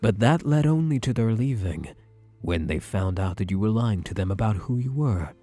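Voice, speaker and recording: A man acting as a possessive male psychiatrist in an ASMR roleplay, with a low, husky voice. He speaks in measured, soothing clinical phrases with a widely moving melody, sounding quietly self-assured. Faint music plays underneath. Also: animated, dry recording. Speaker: roleplay voice actor. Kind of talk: ASMR roleplay, scripted monologue to the listener.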